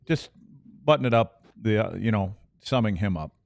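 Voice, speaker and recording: A man's voice: lowish voice, expressive, moderate pace, vocal fry, dry recording, clean studio sound. A man co-hosting a sports podcast, talking casually. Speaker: podcast co-host. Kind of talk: casual chat.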